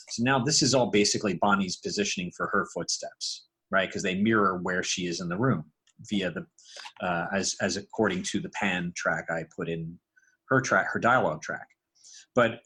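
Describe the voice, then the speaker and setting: A man's voice: lowish voice, a bit bright, husky, poor audio. A man giving a casual, explanatory tutorial, thinking aloud as a sound design instructor. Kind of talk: casual screen tutorial narration.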